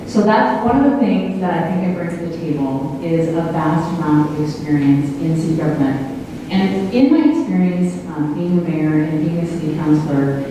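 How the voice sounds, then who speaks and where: A woman who is a former mayor, running for city council, speaking in a chatty, upbeat way that comes in quick bursts. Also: lowish voice, background noise. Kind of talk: debate answer. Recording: echoey hall, distant mic.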